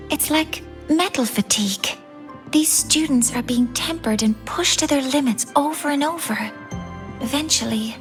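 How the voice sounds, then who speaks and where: An actress playing a gentle, self-doubting young woman who teaches at an academy, speaking reflectively in a video game drama. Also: high-pitched. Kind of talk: video game cutscene voice acting, dramatic dialogue. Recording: faint music behind the voice.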